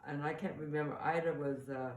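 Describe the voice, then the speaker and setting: An older woman, a mother casually sharing family memories in a halting, conversational way. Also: low voice, steady tone. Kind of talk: casual oral-history reminiscence. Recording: echoey, distant mic, faint room noise.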